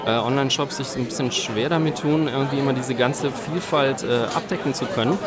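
Man, halting speech, crowd behind. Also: medium pitch. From Belgium (Eupen). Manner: hesitant, many fillers and restarts. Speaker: guest, beer shop owner. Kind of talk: conversational interview.

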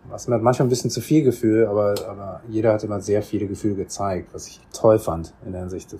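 A man from Germany, a podcast guest, telling his story in a relaxed, reflective way with many restarts and repeated words. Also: lowish voice, fast talker, slightly rough. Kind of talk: casual conversational storytelling.

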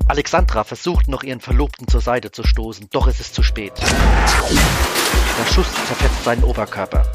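A man's voice: medium pitch, animated, normal speed, over loud music, lightly acted. A man reading scripted dramatic narration as the storyteller of an audio drama.

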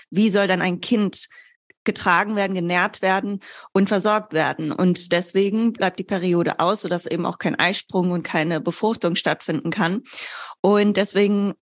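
A woman's voice: medium pitch, steady tone, normal speed. A woman in her twenties, a fitness coach, explaining things conversationally as an interview guest. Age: 20s.

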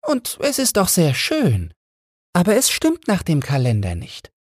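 Small opinionated sparrows in a storybook. The voice is pushed very high and breathy, swinging up and down with chirpy impatience. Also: male voice, wildly swooping pitch, measured pace, no room sound, clean studio sound, heavily acted.

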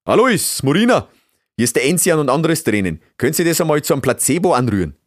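A gruff, eccentric beaver inventor from an animal storybook. He speaks broad Bavarian and blusters like an exasperated boss, his pitch swooping widely in a dry, close recording. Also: big pitch swings, a little fast, no room sound. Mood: busy, commanding. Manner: blustering, scolding, dialect-heavy. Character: gruff, bossy, eccentric old beaver scientist, easily exasperated.

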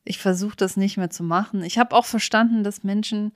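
A woman chats as a podcast co-host in a medium-pitched voice, recorded close and dry. She banters casually and has a playful, teasing way of replying. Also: fairly even intonation, a little fast, no room sound.

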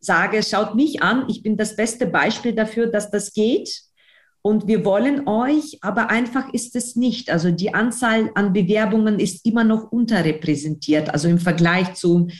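A woman's voice: medium pitch, moderate pace. Emphatic woman with a foreign accent. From outside Germany. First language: not German. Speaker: interview guest, newspaper editor and trainer of trainees. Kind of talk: conversational interview answers, personal account.

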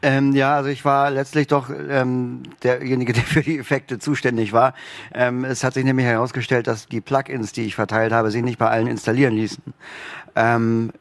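Man, workshop leader on a panel, halting delivery, dry close mic. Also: medium pitch, creaky, dry recording. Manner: hesitant, many fillers. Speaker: workshop leader, sound engineer. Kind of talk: panel discussion. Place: stage at a festival panel.

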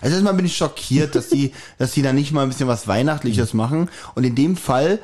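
A man's voice: mid-range voice, fairly quick, darkish tone. A man co-hosting a podcast in casual chat, with a teasing, mock-indignant way of commenting. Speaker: podcast co-host.

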